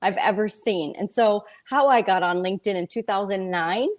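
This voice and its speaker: A woman's voice: medium pitch, moderate pace. Candid woman in her fifties, chatting. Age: 50s. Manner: animated storytelling, quotes herself and others, colloquial. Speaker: interview guest, real estate broker and speaker. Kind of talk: casual, candid interview chat.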